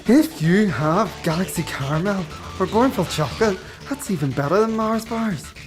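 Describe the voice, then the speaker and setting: A man's voice: sits high, animated, moderate pace, faint music behind. A man acting a scheming prisoner in a radio play monologue. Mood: matter-of-fact. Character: scheming prisoner trading treats for drugs.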